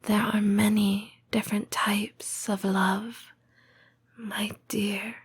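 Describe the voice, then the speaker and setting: A woman voicing a tender, patient lamia girlfriend in an intimate comfort roleplay, breathy and whispering, with measured, formal phrasing. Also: medium pitch, level tone. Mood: tender, reflective. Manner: slow, measured, formal phrasing with deliberate pauses. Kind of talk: intimate comfort roleplay audio, scripted monologue.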